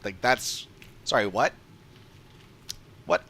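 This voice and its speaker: A man's voice: lowish voice, slow pace, fairly bright tone, slight background noise. A man giving casual, off-the-cuff livestream commentary as a game streamer, emphatic and repeating points for stress.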